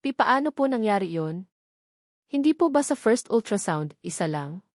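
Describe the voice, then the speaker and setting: A woman's voice: medium pitch, sing-song, moderate pace, no echo, studio quality. A woman voicing a betrayed, anxious but resolute pregnant wife in an emotional storybook drama. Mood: anxious shock. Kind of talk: dramatic audio storybook dialogue.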